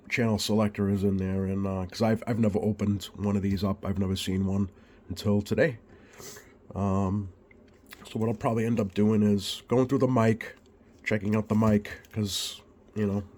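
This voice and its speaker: A man with a slightly scratchy voice, getting over a cold, talks informally through a repair demonstration in a hesitant, unhurried way, thinking aloud. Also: on the low side, darkish tone. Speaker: radio repair technician and video host. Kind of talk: informal bench demonstration vlog. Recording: clearly audible background noise.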